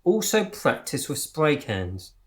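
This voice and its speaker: A self-important leader of a small crop-circle gang in a radio comedy. His voice sits fairly high and swings widely, precise in delivery but prone to fluster. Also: big pitch swings, takes it slowly. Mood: pompous, businesslike. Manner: stammering and spluttering when worked up, otherwise pompous and precise. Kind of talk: radio comedy drama acting.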